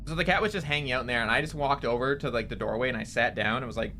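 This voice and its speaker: A man's voice: mid-range voice, rapid-fire, pushed and bright, slight background noise. Nasally young man chatting on stream. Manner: rambling, chatty, answering chat. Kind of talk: casual chat while gaming.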